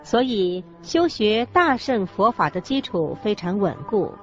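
A woman's voice: mid-range voice, very animated, unhurried. Woman narrator, slightly dull audio, faint music. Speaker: co-narrator of a Buddhist radio lecture. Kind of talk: scripted religious narration.

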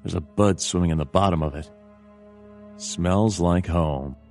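A man voicing a world-weary, wry animal detective narrating a noir video game in a dry, deadpan way. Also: sits low, a little slow, faint music behind.

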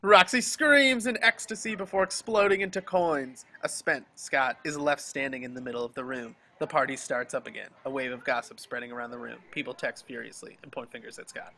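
A man's voice: mid-range voice, steady tone, fairly quick, few pauses, sharp tone. A man reading stage directions as scripted narration for a dramatic table read over a video call.

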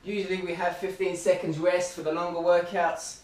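Man coaching a workout, high voice. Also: flat delivery, a little fast. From Australia. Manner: upbeat, encouraging countdowns and cues. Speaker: running club fitness coach. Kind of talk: workout instruction while exercising. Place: living room at home, indoors. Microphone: camera across the room. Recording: roomy, distant camera audio.

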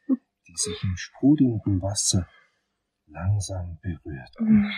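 An actor as a soft, hesitant male patient in a crime audio play. His low, slightly husky voice is wistful and halting, sitting a bit distant from the mic. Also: heavily acted. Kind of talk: German crime radio play acting.

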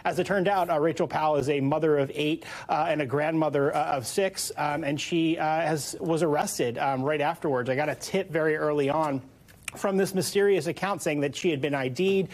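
A man's voice: a little high, steady tone, brisk. An American man in his thirties, a reporter explaining things conversationally in a live news interview with loose, informal phrasing. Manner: informal, loosely hedged phrasing with "sort of" and "you know". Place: TV news studio.

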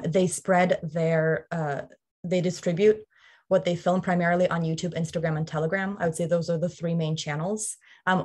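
A woman in her thirties from Ukraine speaks on a video call, giving an academic presentation. Her voice sits a little low for a woman. She talks fluently, with frequent "um" fillers. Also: darkish tone. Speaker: film scholar panelist.

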